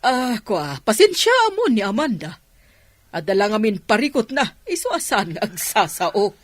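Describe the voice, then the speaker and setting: Animated, fussy middle-aged mother. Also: medium pitch, a little slow, no echo. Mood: apologetic. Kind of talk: radio drama acting.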